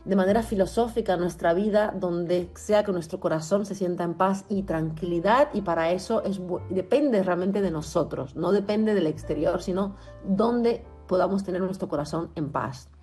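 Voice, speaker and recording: A woman's voice: fairly low pitch, dark tone, faint music behind. Woman musician speaking with careful articulation. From Cuba. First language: French. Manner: deliberate, carefully articulated, earnest. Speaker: musician, interview guest. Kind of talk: reflective interview answers.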